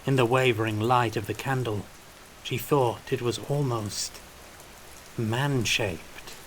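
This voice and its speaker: A man's voice: medium pitch, fairly even intonation, slow pace, a little hiss or hum, poor audio. A man reading scripted fiction as an audiobook narrator, in a storytelling style.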